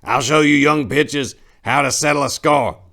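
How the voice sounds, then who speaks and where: A man voicing a mean, belligerent old gangster in a dramatic story reading, menacing and gruff as he barks out insults. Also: on the high side, normal speed, a bit bright, voice pushed up. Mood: angry threat.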